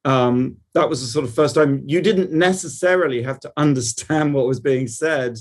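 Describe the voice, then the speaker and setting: Male interviewee, TV producer type. Medium pitch, thoughtful, articulate, conversational delivery. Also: normal speed. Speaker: television producer being interviewed.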